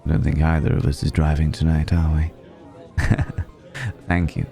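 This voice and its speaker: Man voicing an ageless male vampire, extremely low voice, gravelly creak, courtly and flirtatious, intimate ASMR roleplay. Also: level tone, mellow, faint music behind, heavily acted. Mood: teasing, urbane. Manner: leisurely, courtly, teasing, with hesitant pauses and hums.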